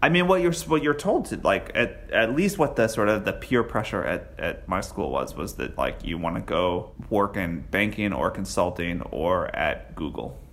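A man answering podcast interview questions in a halting way, full of restarts and fillers. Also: low-pitched, animated, fairly bright tone, a little hiss or hum. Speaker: interview guest.

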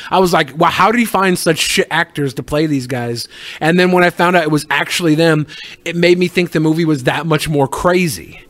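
High-pitched man, loose slangy banter. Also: a little fast, a bit bright, no room sound. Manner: loose, slangy, jokey, profane. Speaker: co-host of a web talk show. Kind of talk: casual banter, reacting to videos and films.

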